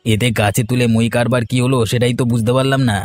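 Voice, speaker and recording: A man's voice: mid-range voice, fairly even intonation, brisk, faint music behind, close to an everyday voice. A man playing a sly, scheming, self-righteous villager in a cartoon story, with an insinuating manner. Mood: dismissive, threatening. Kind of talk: dramatic cartoon story dialogue.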